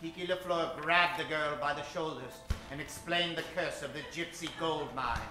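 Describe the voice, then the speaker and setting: A man's voice: on the high side, steady tone, moderate pace, lightly acted. A man narrating and announcing in a theatrical, suspenseful old-time radio style. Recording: very echoey, distant stage recording with music.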